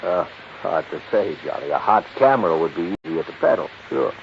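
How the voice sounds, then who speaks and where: An actor playing a worried, pessimistic American insurance executive in a 1950s radio drama, explaining emphatically and prodding with questions. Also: male voice, sits low, animated, a little fast, vocal fry. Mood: worried, sardonic. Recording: dull, hissy 1950s radio recording.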